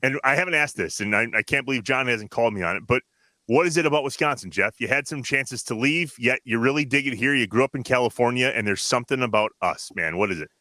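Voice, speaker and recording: Bright, forceful American male podcast host. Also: mid-range voice, expressive, fast talker, dry recording. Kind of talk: conversational hosting with segment links.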